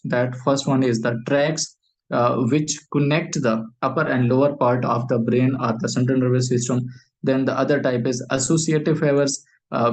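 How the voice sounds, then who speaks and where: A man's voice: mid-range voice, darkish tone. Man lecturing with a Pakistani accent. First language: Urdu. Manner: hesitant, frequent uh fillers, repeats phrases; asks questions then answers them. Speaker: medical lecturer. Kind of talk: medical lecture.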